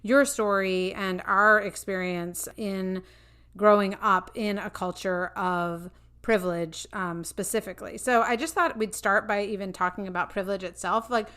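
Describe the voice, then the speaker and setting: A woman's voice: mid-range voice, fairly even intonation, moderate pace, sharp tone. A middle-aged woman hosting a podcast, asking earnest, thoughtful questions in a conversational interview. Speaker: podcast host.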